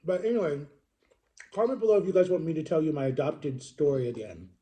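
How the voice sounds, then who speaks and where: Mellow, higher-pitched man in his thirties, chatting. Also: very animated, fairly quick. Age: 30s. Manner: rambling, self-correcting, emphatic repetition. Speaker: vlogger. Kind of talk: casual chatty storytelling to viewers.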